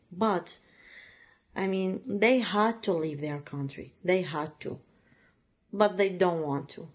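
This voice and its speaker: Slow, halting woman from Iraq. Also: medium pitch, a little mellow. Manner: halting, many fillers and restarts, second-language phrasing. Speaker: interviewee. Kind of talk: personal story, casual.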